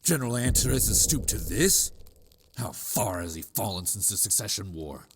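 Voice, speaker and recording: A man voicing a weary, indignant military officer in a video game fan dub, with a husky voice. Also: on the low side, lively intonation, moderate pace. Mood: concerned, indignant.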